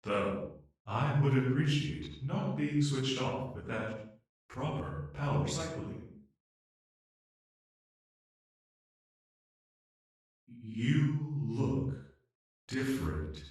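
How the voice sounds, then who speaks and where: A man voicing an anxious, polite, humanlike artificial intelligence in an emotional audio drama, speaking in a measured, formal, hesitant way. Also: medium pitch, lively intonation, a little mellow. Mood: puzzled, wary. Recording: echoey, distant-sounding room.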